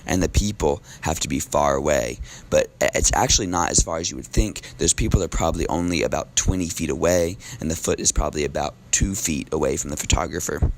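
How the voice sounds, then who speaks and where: A very low-voiced man with a gravelly edge, teaching a class while his voice is going. He talks loosely and casually, filling pauses with ums. A faint hiss of noise sits behind him. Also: poor audio. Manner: relaxed, casual with filler ums. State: losing his voice. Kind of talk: recorded classroom lesson.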